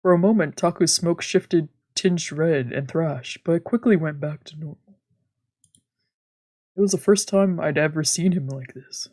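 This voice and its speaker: A husky-voiced woman streamer narrating a visual novel, with casual commentary. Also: deep voice, normal speed, a little mellow. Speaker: let's play streamer.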